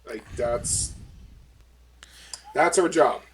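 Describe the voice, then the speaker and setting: A man's voice: medium pitch, extremely slow, a little hiss or hum. A man chatting casually as a player in a tabletop game. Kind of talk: casual group game chat. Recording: distant from the mic.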